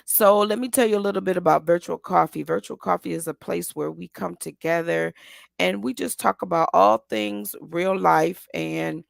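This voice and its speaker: Hoarse, gravelly woman hosting a show. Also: fairly low pitch, normal speed, no room sound, studio quality. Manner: rambling, many ums and restarts; encouraging, asks listeners to agree. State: hoarse today. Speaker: host. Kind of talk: casual motivational talk.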